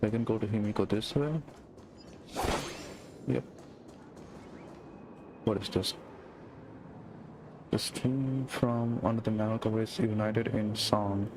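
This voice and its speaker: A man's voice: lowish voice, steady tone, slow pace, long pauses, noisy background. A man giving casual game playthrough commentary, reacting off the cuff and reading on-screen text aloud with some stumbles. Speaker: game streamer.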